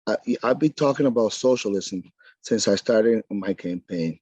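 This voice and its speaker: A man from Venezuela with a Venezuelan Spanish accent, a Spanish native speaker, answering as a council candidate on a radio interview, earnest and defensive with halting phrasing. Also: lowish voice, a little mellow, breathy edge, no echo, highs cut off.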